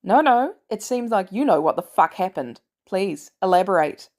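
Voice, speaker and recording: A woman's voice: mid-range voice, lively intonation, moderate pace, a little mellow, lightly acted. A woman voicing a wry, fatherly male superhero inventor in a story reading, casual and teasing.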